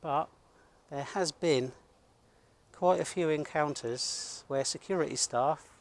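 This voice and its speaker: A middle-aged man hosting a vlog monologue, speaking in blunt, grumbling, deliberate phrases. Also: a little high. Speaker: host of an online video channel.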